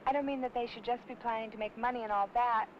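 A woman's voice: on the high side, steady tone, fast. A young woman earnestly stating her opinion. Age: teens or young adult. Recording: dull old film, faint vehicle noise.

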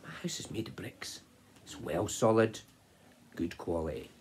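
A level-headed sister pig from a bedtime story, as the narrator puts her on. She speaks softly and near a whisper, reassuring and unhurried, with faint noise under it. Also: deep voice. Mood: soothing. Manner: hushed, soothing. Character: calm sensible sister pig.

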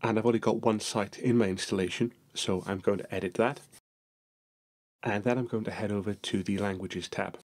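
A man narrating a software screencast tutorial, explaining each step in a steady manner. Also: lowish voice, normal speed.

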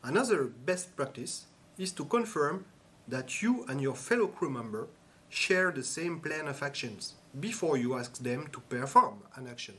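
A man giving scripted instructional narration in a measured, instructive way. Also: a little high, a bit bright, a little hiss or hum. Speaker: training narrator.

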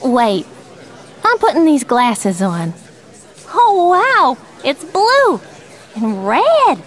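High-pitched, teasing 1950s wife. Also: female voice, wildly swooping pitch, very slow, some chatter behind, exaggerated voice. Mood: giddy. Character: playful, tipsy, teasing 1950s housewife. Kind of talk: retro 1950s radio drama acting.

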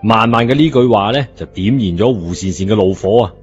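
A man's voice: on the low side, brisk. A man narrating a story in a colloquial scripted style, in a dull recording. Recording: dull lo-fi audio, music behind.

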